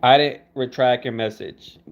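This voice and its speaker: A man's voice: medium pitch, fairly even intonation, unhurried, vocal fry. A young man on a casual livestream, laid-back and teasing, laughing as he answers viewer comments. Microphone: phone. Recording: dull lo-fi phone audio.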